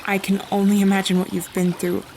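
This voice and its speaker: An actress playing a tender, hopeful young female sword fighter, soft-spoken, in a fantasy radio play. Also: medium pitch, level tone, brisk, a little hiss or hum, close to an everyday voice, rough recording. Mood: tender, hesitant. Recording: music bed under the voice.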